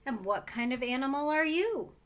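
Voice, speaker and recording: A woman voicing a kindly, anxious little man of the mountains in a storybook, gentle, polite and earnest. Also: high voice, expressive, moderate pace.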